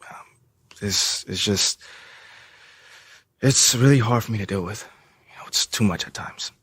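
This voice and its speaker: A man playing a defiant, wounded young pro basketball player in a naturalistic video game drama, speaking informally and emotionally with a husky voice. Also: fairly low pitch, unhurried, fairly bright tone, dry recording, studio quality. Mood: subdued, weary. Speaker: actor in a video game story cutscene.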